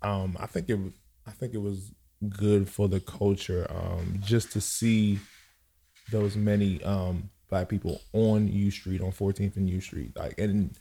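A man of about thirty-one from Cameroon talks as a podcast guest. His voice is low and soft-edged, with a relaxed tone. He chats casually, often pausing to hunt for the next word. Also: creaky, slightly rough. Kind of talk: casual chat.

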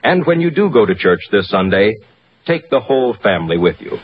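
A man's voice: on the low side, big pitch swings, a little fast, over loud music. A man reading a scripted public service announcement as an old-time radio announcer. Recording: muffled, faintly noisy old radio recording.

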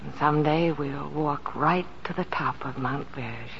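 A woman's voice: deep voice, unhurried, creaky, a little hiss or hum. An actress playing a frail, devoted woman pianist who is ill, speaking tenderly and wistfully in a 1940s radio drama. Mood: hopeful, playful. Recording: muffled 1940s radio recording, music behind.

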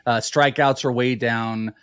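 A man's voice: mid-range voice, fairly even intonation, fairly bright tone, smooth, no echo, studio quality. A man co-hosting a sports podcast, chatting casually, teasing his partner and reeling off stats. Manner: teasing, quick, stat-heavy analysis. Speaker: podcast co-host.

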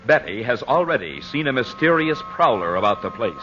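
A man announcing and narrating an old-time radio show in a theatrical, deliberate, emphatic scripted style. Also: mid-range voice, big pitch swings. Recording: dull old radio recording, faint music.

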